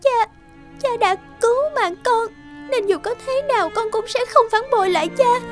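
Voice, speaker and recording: A woman voicing a gentle, loyal young princess in a cartoon fairy tale, polite and earnest. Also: very high voice, moderate pace, faint music behind. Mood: tearful.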